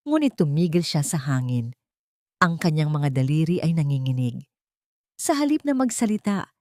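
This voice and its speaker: A Filipino-accented woman narrates a scripted drama. Her voice sits a little low and she tells the story with deliberate emotion. The sound is dry, with no room echo. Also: darkish tone.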